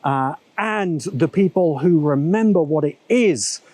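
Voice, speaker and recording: Man, video essay host, thoughtful commentary, measured, slightly high voice, small camera mic. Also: animated, dark tone, no room sound. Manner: measured, musing, self-correcting asides. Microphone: small handheld camera's built-in mic.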